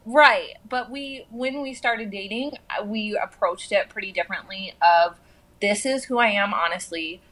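A woman's voice: mid-range voice, normal speed, a bit bright, rough recording. An American woman from the southwestern United States talking casually in conversation as a guest.

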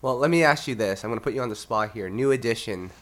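A man's voice: mid-range voice, quick pace. A Canadian man hosting a podcast, congested and a bit nasal from illness, easygoing and laughing often. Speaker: podcast host. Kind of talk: casual chat.

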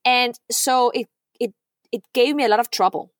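A woman's voice: fairly high pitch, normal speed, no room sound, studio quality. A middle-aged woman from Denmark, a podcast guest talking earnestly, often repeating words and checking in with "right?". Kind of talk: heartfelt podcast conversation.

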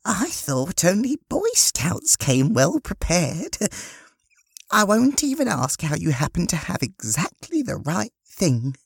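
A woman playing a friendly party hostess in audiobook dialogue, speaking in a lively, expressive way. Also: fairly low pitch, takes it slowly, vocal fry, husky, no echo, clean studio sound, full character voice. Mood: teasing. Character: Mary, friendly woman hosting a children's Christmas party.

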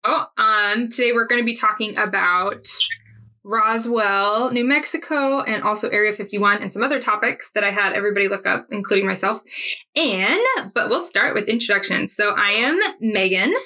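A woman's voice: mid-range voice, normal speed, bright, forceful tone. A woman in her thirties hosting a casual podcast chat, talking over a video call and laughing often. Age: 30s. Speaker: podcast host.

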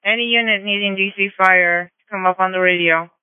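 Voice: mid-range voice, steady tone, brisk, clear, resonant tone, no room sound. A police radio dispatcher directing units in radio procedure over a narrow radio channel.

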